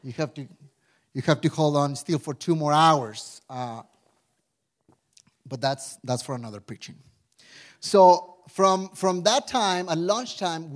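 A man in his forties from Mexico with a Mexican Spanish accent, preaching a casual sermon with a prayer, chatty and animated, full of restarts and "right?" asides. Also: fairly high pitch. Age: 40s. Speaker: pastor.

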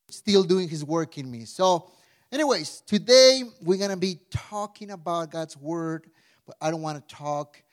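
Mexican-accented pastor in his forties, lively. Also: a little high. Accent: Mexican Spanish. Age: 40s. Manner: conversational, animated storytelling with many restarts and "right?" asides. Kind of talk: casual sermon with prayer.

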